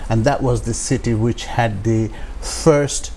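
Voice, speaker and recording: A man in his sixties with a Bangladeshi accent tells his own story as an interview guest, haltingly, with filled pauses. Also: medium pitch, fairly even intonation, creaky, dark tone, a little hiss or hum. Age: 60s.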